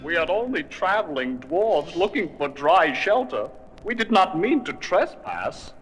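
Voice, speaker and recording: Forceful, proud dwarf leader. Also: male voice, a little high, sing-song, a little slow, soft background music, rough recording. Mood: apologetic. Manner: commanding, formal. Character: proud, stern dwarf leader, richly dressed. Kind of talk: children's storybook record acting.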